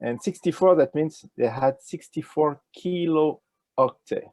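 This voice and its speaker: An older man with a French accent talks in a gravelly, mellow voice. He answers in a halting way, full of fillers and restarts. The sound is dry, from a wired earphone mic on a video call. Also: fairly high pitch, dry recording, soft background music. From France. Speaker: ecology professor, interview guest. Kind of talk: casual interview answers. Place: indoors with a virtual nature backdrop.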